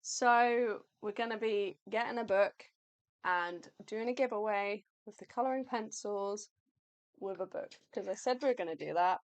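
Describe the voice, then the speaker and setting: A woman co-hosting an art livestream in a chatty, upbeat, rambling way, her voice going slightly hoarse. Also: mid-range voice, normal speed. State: losing her voice. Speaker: livestream co-host, artist. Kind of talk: casual live chat.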